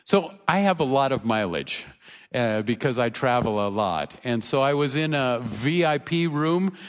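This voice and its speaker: An older man, a pastor, preaching a sermon in a church with earnest emphasis and softer storytelling asides. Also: mid-range voice, animated, unhurried, clean tone. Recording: reverberant church hall.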